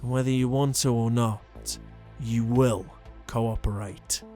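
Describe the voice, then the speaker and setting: An actor playing a zealous, smug holy inquisitor in an audio drama, speaking in a pompous, deliberate, formal manner. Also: male voice, mid-range voice, steady tone, warm tone, faint music behind, lightly acted. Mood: threatening. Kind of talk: dramatic audio roleplay acting.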